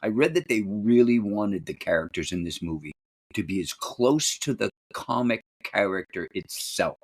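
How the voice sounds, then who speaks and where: A man's voice: lowish voice, lively intonation, normal speed, dry recording, clean studio sound. A man co-hosting a podcast, discussing films casually with a fan's enthusiasm. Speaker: podcast co-host.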